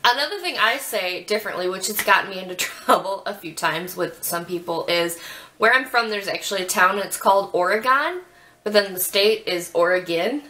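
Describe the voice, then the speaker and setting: A woman's voice: medium pitch, lively intonation, sharp tone, slight background noise. A woman in her twenties from Ohio with a Midwestern accent, drawing out her vowels as she chats and reads questions to a friend on webcam in a small bedroom. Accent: Midwestern American. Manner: chatty, giggly, drawn-out vowels. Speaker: vlogger host. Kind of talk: casual chat, reading tag questions. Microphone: webcam at desk.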